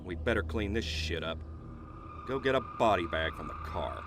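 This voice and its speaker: A man playing a gruff, hot-tempered small-town sheriff in an audio drama, commanding, curt and coarse-mouthed. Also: deep voice, animated, normal speed, background noise. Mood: stern.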